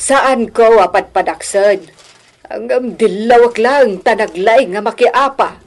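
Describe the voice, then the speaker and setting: A woman's voice: a little high, a little slow. A woman acting an older, meddling mother-in-law who scolds and complains in a radio drama. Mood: complaining. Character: meddling elderly mother-in-law, reproachful and self-righteous.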